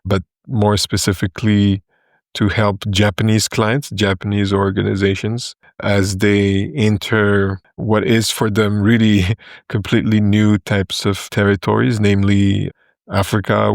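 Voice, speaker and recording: Low flat guy from ethiopia, measured consultant talk, dry close sound. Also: creaky, no room sound. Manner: measured, explains in short phrases with fillers. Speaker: management consultant, guest. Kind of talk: podcast interview.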